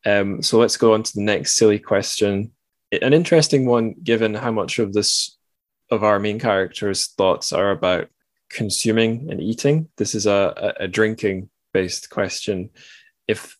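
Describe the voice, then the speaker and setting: A man hosting a casual podcast interview in a playful, halting way that often doubles back on words. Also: fairly low pitch, fairly even intonation, no echo. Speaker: podcast host.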